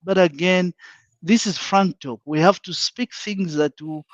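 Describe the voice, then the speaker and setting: A man's voice: high-pitched, unhurried, no room sound, lo-fi sound. A man with a Zambian accent, a panel guest speaking frankly and emphatically in a live online discussion, laying out his points one by one.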